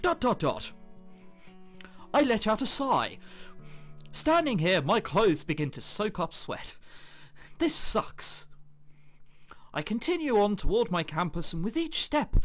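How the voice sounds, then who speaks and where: A man with a fairly high voice plays a game and reads its text aloud. His delivery is lively and swings widely in pitch. He drops in casual, sarcastic jokes, with game music playing behind him. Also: slow pace, pauses often. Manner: jokey, sarcastic asides. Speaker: let's player. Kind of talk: casual gaming commentary mixed with reading game text aloud. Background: game background music.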